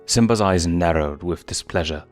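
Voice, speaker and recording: A man's voice: low voice, moderate pace, faint music behind. A man reading a fan fiction story aloud as a scripted narrator.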